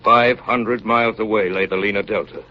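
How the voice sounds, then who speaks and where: Man acting a grave low-voiced seafarer, old radio. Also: steady tone, natural voice. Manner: solemn, measured, portentous. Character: grave, hardy chief engineer recounting an Arctic disaster. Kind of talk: 1930s radio acting, dramatic narration. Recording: muffled, noisy 1930s radio broadcast recording.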